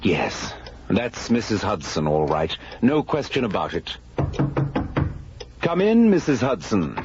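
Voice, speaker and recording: Low, slow, pompous detective. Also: male voice, wildly swooping pitch, full character voice. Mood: smug, deliberate. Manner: theatrical, deliberate, pompous. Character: pompous, self-assured British consulting detective. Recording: muffled, hissy radio recording.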